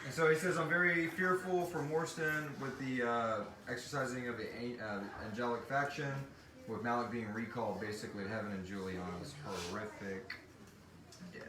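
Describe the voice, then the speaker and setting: A man's voice: mid-range voice, fairly even intonation, normal speed, background noise. Casual male host in a roomy group session. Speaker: show creator hosting a live audience question session. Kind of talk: casual group live Q&A chat. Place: live stream in a room with several people. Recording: roomy, distant mic with group chatter.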